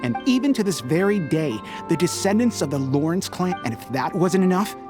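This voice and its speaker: A woman plays a confrontational townswoman in a video game. She is blunt and resentful, with a slightly low voice that moves a lot in pitch. Music runs behind her. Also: animated, brisk, lightly acted. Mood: exasperated.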